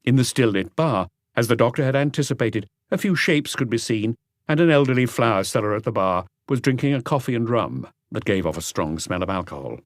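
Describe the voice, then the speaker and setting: A man's voice: medium pitch, expressive, fairly quick, no echo, clean studio sound. A man reading a mystery novel aloud as scripted audiobook narration.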